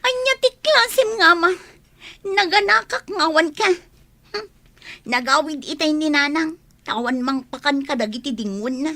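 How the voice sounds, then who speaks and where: Young unwed mother, high forceful voice, Ilocano accent, emotional, pleading, radio drama. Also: expressive, unhurried, full character voice. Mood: resentful. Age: young adult. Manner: emotional, plaintive.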